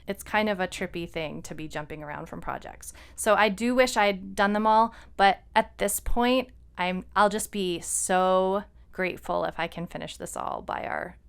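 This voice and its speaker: A woman's voice: on the low side, creaky, a bit bright, clean tone. A woman co-hosting a podcast, talking casually and giving informal, encouraging advice as a writer. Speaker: podcast co-host and fiction writer. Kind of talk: casual conversational podcast talk.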